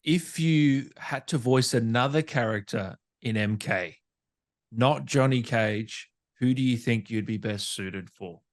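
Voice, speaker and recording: Casual male interviewer, mid-pitched. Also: a little slow. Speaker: interview host reading fan questions.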